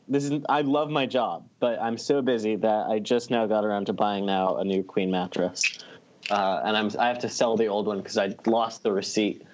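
A man's voice: lowish voice, a little mellow. Wry man chatting, slightly muffled sound. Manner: wry, self-deprecating, profane. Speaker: podcast co-host. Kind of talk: casual chat among friends.

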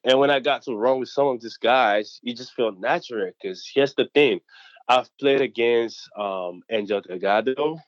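A young man from Nigeria, whose first language is not English, telling stories as a student athlete in a casual interview, chatty and animated, with many fillers. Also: medium pitch, moderate pace, no echo, lo-fi sound.

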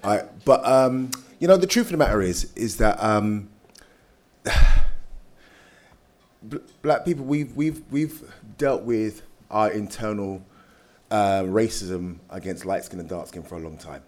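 A man's voice: on the low side, takes it slowly, pauses often, darkish tone. A man speaking emphatically and conversationally as a panelist, often checking that listeners follow. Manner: emphatic, rhetorical check-ins. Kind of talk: panel discussion, conversational answer. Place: live panel event.

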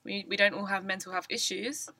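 A woman's voice: mid-range voice, steady tone, brisk, pushed and bright, no room sound. A young woman co-hosting a podcast, chatting casually, giggly and self-mocking with frequent ums. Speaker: podcast co-host. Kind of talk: casual chat.

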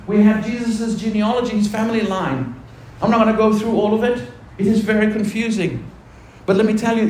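Guy preaching, pretty high voice, lots of room echo, asks the crowd questions, slows way down to make a point. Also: darkish tone, slight background noise. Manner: preaching with rhetorical questions to the congregation; swings between quick asides and slow, low emphatic phrases. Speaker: pastor. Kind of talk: sermon.

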